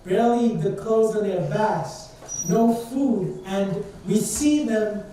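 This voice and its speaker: A man's voice: sits high, takes it slowly, mellow. Young man preaching in an echoey church. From Trinidad. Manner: earnest, conversational preaching with rhetorical questions. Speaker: student speaker at church service. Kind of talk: informal sermon. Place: church sanctuary. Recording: distant and very echoey church hall, faint noise.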